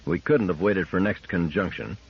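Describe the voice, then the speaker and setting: A level-headed male team leader from a science fiction story, voiced by a man reading aloud. He reasons tersely and stays controlled, in a lowish, husky voice. The sound is a little dull. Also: normal speed. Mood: firm.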